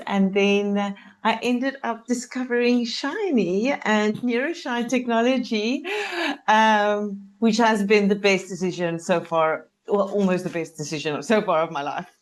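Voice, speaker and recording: A woman's voice: mid-range voice, unhurried. A South African woman whose first language is Afrikaans, chatting as a coach guest on a video-call interview and laughing often. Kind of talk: casual interview chat.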